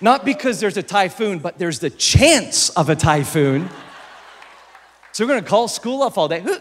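Animated man preaching with an American accent. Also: sits high. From Philippines. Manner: animated, jokey, bursts into shouts. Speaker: pastor. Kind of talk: sermon with comic storytelling.

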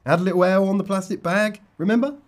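An actor playing a dry, sarcastic, teasing policeman who would rather slack off, in a dark comedy audio drama. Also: high voice, fast, lightly acted. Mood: wry. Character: wry, teasing policeman, slacking senior partner.

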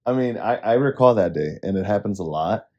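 Young man, Spanish native, podcast co-host, relaxed chatty storytelling, very dark tone. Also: lowish voice, fairly quick, dull audio. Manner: laid-back storytelling, many "like"s. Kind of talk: casual chat.

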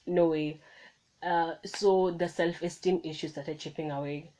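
A woman's voice: sits low, steady tone, a little slow, dark tone, low-quality recording. A young woman hosting her own podcast, talking through motivational points in a chatty way, often restarting her sentences, recorded on a phone. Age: young adult. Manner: chatty, self-correcting, motivational. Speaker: podcast host. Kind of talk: casual solo podcast monologue. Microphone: phone microphone.

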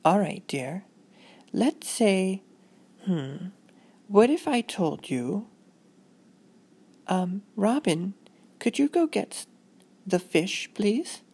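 Storybook mother, acted by a woman: gentle, patient, coaxing, slightly high lilt with some creak. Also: very animated, drawn-out words, pauses often, exaggerated voice. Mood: patient, explaining. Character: patient, gentle mother explaining things to her child.

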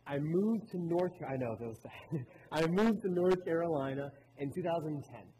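A man's voice: on the high side, moderate pace, mellow. A man in his late twenties from Baltimore, giving a personal talk to a church in an earnest, conversational storytelling way. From Baltimore, Maryland. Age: late 20s. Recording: reverberant hall with clear room noise.

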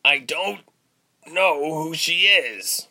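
A man's voice: a little high, lively intonation, extremely slow, bright, forceful tone, pitched above the performer's own voice, exaggerated voice. A man voicing a defiant young male prisoner in an audiobook, strained and protective. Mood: pained, pleading. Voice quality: strained, croaky. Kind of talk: audiobook character voice.

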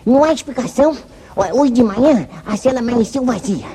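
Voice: medium pitch, expressive, fairly quick, warm tone, lightly acted. Um intérprete brasileiro de radionovela dos anos 1950 fazendo um moleque ajudante de herói, simples e agitado, de fala popular. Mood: alarmed reporting. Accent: Brazilian. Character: eager young boy sidekick of a cowboy hero. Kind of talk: 1950s radio western acting.

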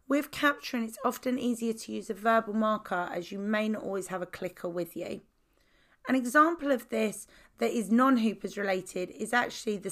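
Female podcast host, croaky voice, calm scripted explainer of training methods. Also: fairly high pitch.

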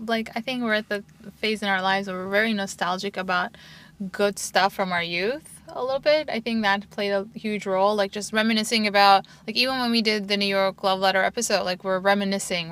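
A woman in her forties from Turkey co-hosts a relaxed podcast chat. Her voice is bright and forward, and she rambles warmly through memories with frequent "like" fillers. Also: medium pitch. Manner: chatty, many "like" fillers, animated. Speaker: podcast co-host. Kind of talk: casual chat.

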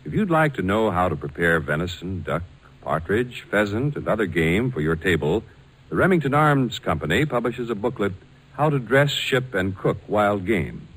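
A male American announcer in the style of 1940s radio. His voice is on the low side and rough-edged, reading scripted copy with big swings of intonation. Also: very animated, moderate pace, fairly bright tone, rough recording.

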